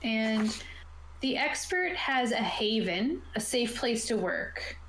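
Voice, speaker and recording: A woman's voice: on the high side, lively intonation, slow, a bit bright, slight background noise. A woman casually explaining tabletop game rules and reading rulebook passages aloud, as a game master on an online call. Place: indoor room, online video call. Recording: roomy, echoey home recording.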